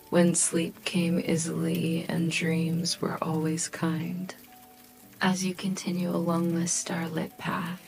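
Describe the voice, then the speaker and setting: Female sleep-story narrator: low, flat-toned, soothing and hushed, whispery at phrase ends, guiding the listener gently. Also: low-quality recording. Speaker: host and narrator of sleep meditation stories. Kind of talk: slow scripted sleep meditation narration.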